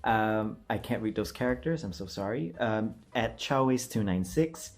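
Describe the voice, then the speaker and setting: A man's voice: on the low side, animated, normal speed, soft background music. A young man talking casually to his viewers as a voice-acting creator, in a rambling, self-deprecating way. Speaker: voice actor and video creator.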